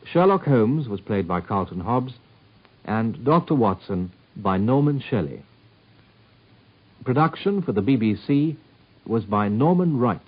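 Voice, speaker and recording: A man's voice: mid-range voice, sing-song, slightly rough. A man making formal announcements for a radio drama. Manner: measured, formal. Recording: lo-fi old radio recording.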